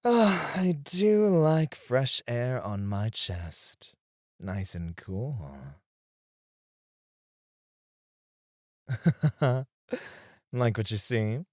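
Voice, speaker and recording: A man acting a flirtatious, self-assured male stripper in an ASMR roleplay, suave and teasing, with breathy asides, close on the microphone. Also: medium pitch, lively intonation, drawn-out words, darkish tone, dry recording. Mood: smug, playful. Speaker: ASMR voice actor.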